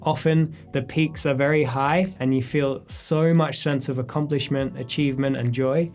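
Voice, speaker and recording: A man in his thirties, a photographer, answering viewer questions in a relaxed, reflective sit-down chat in his studio. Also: medium pitch, moderate pace. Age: 30s. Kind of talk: casual sit-down Q&A. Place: his studio space, indoors.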